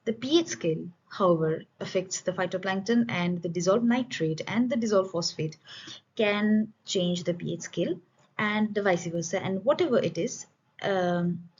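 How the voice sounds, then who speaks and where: A woman gives an academic conference presentation as a researcher, in a formal, explanatory manner with halting phrasing. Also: medium pitch, expressive, darkish tone, breathy edge. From India. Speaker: student researcher presenting a study. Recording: dull, lo-fi voice recording.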